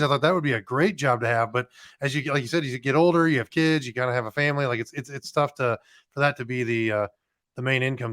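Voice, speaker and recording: A man's voice: medium pitch, fairly bright tone, clear, resonant tone, no room sound, studio quality. A man hosting a podcast, talking casually in a rambling way with many restarts. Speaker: podcast host, former firefighter.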